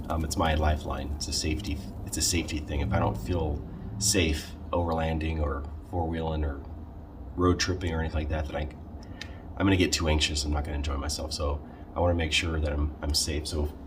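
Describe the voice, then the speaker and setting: A man talking casually through a how-to vlog in a halting, conversational way, often correcting himself. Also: very deep voice, background noise. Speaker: video creator showing a car radio install.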